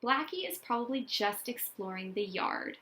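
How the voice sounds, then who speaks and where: A woman reading a storybook as a brisk, practical, reassuring mother. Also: a little high, animated, sharp tone, a little hiss or hum.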